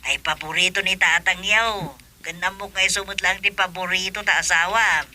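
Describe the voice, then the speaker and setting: A woman's voice: on the low side, steady tone, moderate pace, bright, forceful tone. A woman playing a chatty, teasing middle-aged mother in a radio comedy drama.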